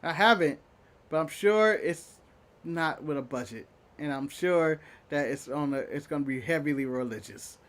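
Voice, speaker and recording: Brief: male co-host, high voice, jokey banter, laughs a lot. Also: moderate pace. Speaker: podcast co-host. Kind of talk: casual chat.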